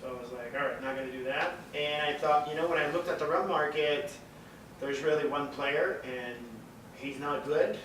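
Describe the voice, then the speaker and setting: A man's voice: a little high, moderate pace, a bit bright, slight background noise. Man, echoey distant mic. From Montreal, Quebec, Canada. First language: French. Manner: rambling storyteller, frequent fillers and swearing. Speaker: guest, distillery founder. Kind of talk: casual podcast interview.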